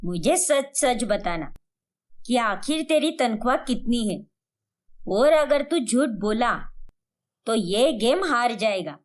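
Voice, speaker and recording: A woman's voice: fairly high pitch, expressive, slow, voice pushed down. A woman voicing a scheming, bossy middle-aged mother-in-law in a colloquial, assertive way for a cartoon story. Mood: stern.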